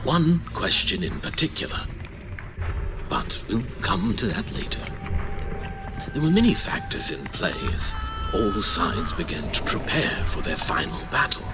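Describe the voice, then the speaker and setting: A man playing a grave old archivist and mentor in an audio drama, telling history in a measured, formal, portentous way. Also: lowish voice, wildly swooping pitch, exaggerated voice. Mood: reflective, foreboding. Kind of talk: audio drama acting, storytelling narration. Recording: very loud music behind voice.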